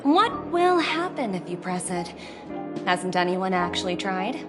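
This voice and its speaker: Poised young executive, lively pitch, confident, game music underneath. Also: female voice, a little slow. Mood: curious. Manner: confident, shrewd, lightly teasing. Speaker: game character. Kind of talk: studio game voice acting.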